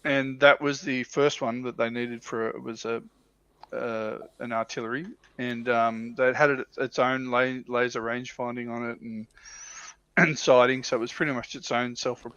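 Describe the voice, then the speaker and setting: A man chatting casually as a livestream panelist, explaining things and often clearing his throat. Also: mid-range voice, level tone, moderate pace, a bit bright. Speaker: livestream panelist on tabletop wargaming. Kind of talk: casual discussion, explaining slides. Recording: dull lo-fi roomy livestream audio.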